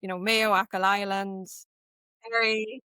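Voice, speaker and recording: A woman's voice: medium pitch, level tone, measured pace, bright, forceful tone, smooth, dry recording. A woman with an Irish accent, guest on an interview, explaining things in a chatty way with many "like" and "um" fillers. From Ireland. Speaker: guest, walking tour operator. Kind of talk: casual interview chat.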